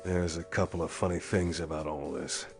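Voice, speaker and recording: An actor playing a hard-nosed, suspicious police detective in a pulp thriller audio drama, firing insistent, probing questions. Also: male voice, low voice, flat delivery, moderate pace, creaky, a little mellow, faint music behind. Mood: puzzled, suspicious.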